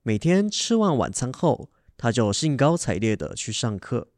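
A man narrates a radio drama from a script. His mid-range voice is highly animated in pitch, as in storytelling, and recorded dry and close. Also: moderate pace, no room sound.

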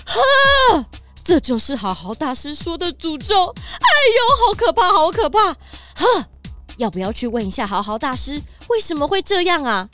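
A woman's voice: sits high, big pitch swings, normal speed, a bit bright, faint music behind. A woman voicing a worried, chattering village woman in a children's radio drama.

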